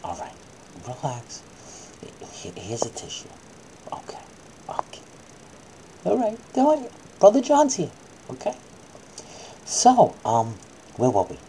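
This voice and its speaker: A woman's voice: on the low side, huge pitch swings, measured pace, long pauses, mellow, slight background noise, poor audio. A woman acting out a bedtime story for children, moving between dramatic reading and coaxing, soothing asides.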